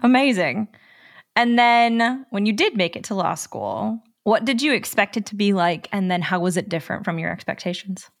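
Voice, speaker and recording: A woman's voice: mid-range voice, expressive, normal speed, gravelly. A woman hosting a podcast, reading a polished intro and then asking interview questions. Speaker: podcast host.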